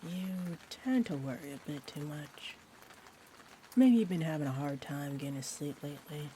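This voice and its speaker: Woman playing a low-voiced, sweet, shy vampire in rain. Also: moderate pace, lightly acted. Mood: teasing, gentle. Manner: hesitant, gentle, rambling. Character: sweet, shy, rambling young female vampire. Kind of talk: roleplay audio, intimate one-sided chat. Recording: steady rain-like ambient noise. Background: rain ambience.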